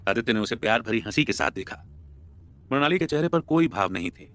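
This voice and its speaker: A man's voice: medium pitch, lively intonation, a little fast, a bit bright, slight background noise. A man narrating a scripted audio story as a storyteller. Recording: music bed under the voice. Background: background music.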